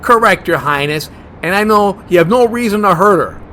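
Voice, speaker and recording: An actor playing a fussy, germophobic monster hunter, blunt and bossy, in a comedy horror audio drama. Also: male voice, high-pitched, moderate pace, slight background noise. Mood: defiant. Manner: deadpan, argumentative.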